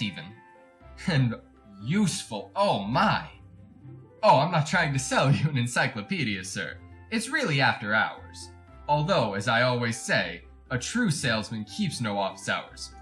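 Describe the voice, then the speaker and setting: A creepy, over-courteous salesman rambles in theatrical 1940s radio style. His mid-pitched voice swoops up and down with great animation. Faint music sits behind him, and his fussy politeness barely covers a bullying streak. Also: sing-song, normal speed, a bit bright. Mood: fussy, self-satisfied. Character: chatty, creepy encyclopedia salesman, widower-like, bullying his dog. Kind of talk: retro 1940s radio drama monologue.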